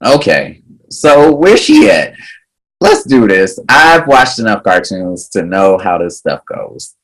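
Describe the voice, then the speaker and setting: A man's voice: lowish voice, slow, heavily acted, rough recording. An actor at a table read playing a cocky, playful teenage boy who thinks he is a hero. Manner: goofy, animated, cocky.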